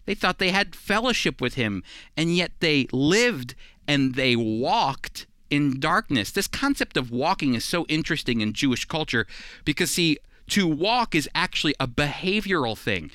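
A man's voice: a little high, very animated, normal speed, pushed and bright, no room sound, clean studio sound. A man teaching the Bible on a radio program in an earnest, emphatic, conversational preaching style.

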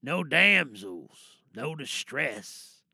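A man's voice: mid-range voice, big pitch swings, extremely slow, creaky, pushed and bright, husky, dry recording, clean studio sound, voice pushed down, full character voice. A man playing a flamboyant, gloating supervillain in an audio drama monologue, savoring each phrase and secretly smitten with a captive hero. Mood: taunting, obsessed.

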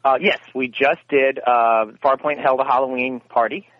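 A man's voice: medium pitch, steady tone, moderate pace, no echo. A man giving interview answers, telling stories in a rambling way and correcting himself as he goes. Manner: rambling, self-correcting storyteller. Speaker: guest, radio theater founder. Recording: tinny phone line, dry.

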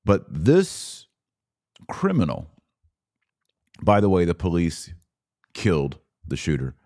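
A man hosting a podcast, giving solo commentary on the news in an earnest, emphatic way with short, deliberate phrases. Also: deep voice, wildly swooping pitch, darkish tone.

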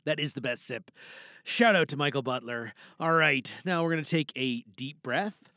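A man's voice: fairly high pitch, unhurried, bright, forceful tone, no echo. A man hosting a podcast in a casual, playful, rambling way, close on the mic in a booth. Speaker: podcast host and monologue performer. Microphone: close mic in a recording booth.

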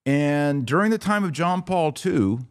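A man's voice: fairly high pitch, expressive, measured pace, clear, resonant tone, dry recording, studio quality. An American man hosting a podcast, giving informal, opinionated religious commentary in an emphatic, conversational way with rhetorical asides.

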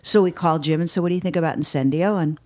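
Chatty woman musician, lowish voice. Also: very fast. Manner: enthusiastic storyteller, chatty with restarts. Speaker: band musician guest. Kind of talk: casual interview chat.

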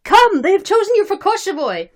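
A high, loud storybook rabble of drunken Cossack men, acted out by a woman reading aloud. They bellow orders and abuse belligerently, with big lively swoops in pitch. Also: brisk. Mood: rowdy, belligerent. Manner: shouting, belligerent. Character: rowdy drunken mob of Cossacks, bellowing demands and insults.

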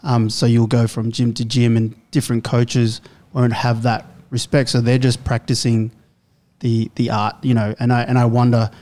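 Flat, mellow-voiced male interviewer. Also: medium pitch, normal speed. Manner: rambling, thinking aloud. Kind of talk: conversational interview question.

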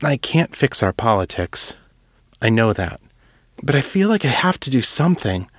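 A man's voice: medium pitch, big pitch swings, moderate pace, no echo, clean studio sound, voice pushed up. A man voicing an earnest, humble young male writer who speaks hesitantly and sincerely in an audiobook.

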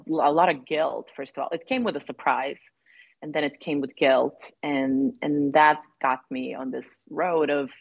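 Low-voiced Serbian-born woman, reflective. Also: no room sound, highs cut off. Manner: reflective, frequent fillers and restarts. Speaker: women's relationship coach. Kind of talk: conversational interview.

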